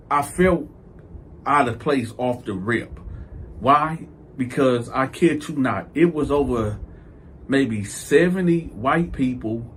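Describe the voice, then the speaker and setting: A man's voice: mid-range voice, a little hiss or hum. A man hosting a storytime vlog, telling his tale animatedly and jumping into other voices for the quoted parts. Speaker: storytime channel host.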